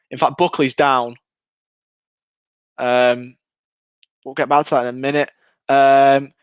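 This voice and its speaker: A young English man hosting a live fan watchalong, commentating on a match and reading out viewer comments, excitable and chatty, his voice going hoarse. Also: mid-range voice, no echo. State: losing his voice.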